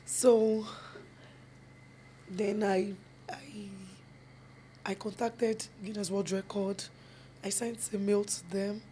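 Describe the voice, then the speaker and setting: A woman's voice: mid-range voice, steady tone, takes it slowly, long pauses, mellow, slight background noise. A young Nigerian woman with a Yoruba accent, a film producer and actress, giving earnest interview answers. She mixes English and Yoruba and repeats phrases for emphasis. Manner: switches between English and Yoruba mid-sentence.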